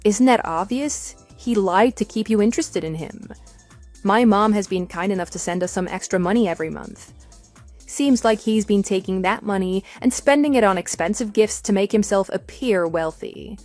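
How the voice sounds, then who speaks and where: A woman's voice: mid-range voice, moderate pace, a little mellow, faint music behind, natural voice. A woman playing a composed, matter-of-fact wife with a dismissive edge in a scripted audio drama. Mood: wry, mocking. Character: composed, wry wife divorcing her cheating husband.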